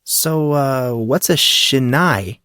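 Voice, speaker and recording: A man's voice: medium pitch, takes it slowly, bright, forceful tone, smooth, dry recording, studio quality, voice pushed up. A man voicing a puzzled, guarded teenage boy who keeps asking questions and steers away from topics. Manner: questioning, evasive.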